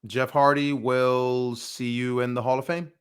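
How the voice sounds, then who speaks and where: A man's voice: medium pitch, flat delivery, unhurried, very noisy. A man co-hosting a wrestling podcast with joking, slangy banter, repeating phrases for emphasis. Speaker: co-host of a pro wrestling podcast; pro wrestler.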